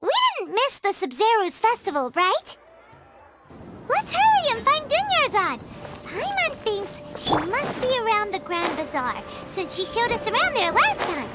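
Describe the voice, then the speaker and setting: A woman's voice: very high-pitched, huge pitch swings, a little slow, pushed and bright, exaggerated voice. A woman voicing a small, chatty floating companion creature who talks about herself in the third person, in game dialogue. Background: game music.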